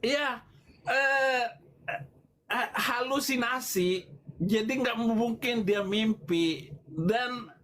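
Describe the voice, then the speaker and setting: A man giving an extemporaneous religious polemic talk as a preacher on a livestream, explaining in a halting way. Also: very high-pitched, fairly bright tone, slight background noise, rough recording. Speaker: preacher answering questions on a livestream.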